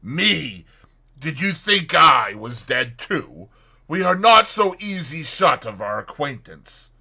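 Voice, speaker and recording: A man voicing a bitter, defiant old drunkard in a storybook, loud and declamatory like a herald. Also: on the high side, big pitch swings, slow pace, slightly rough, pitched above the performer's own voice, exaggerated voice. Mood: grimly scornful. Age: older.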